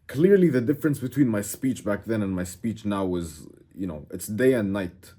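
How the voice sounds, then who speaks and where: A man's voice: on the low side, lively intonation, moderate pace, mellow, slight background noise. A man from Egypt whose first language is Arabic, talking straight to camera in a casual, brash, emphatic way and often saying "bro". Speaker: self-improvement YouTuber. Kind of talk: casual direct-to-camera talk.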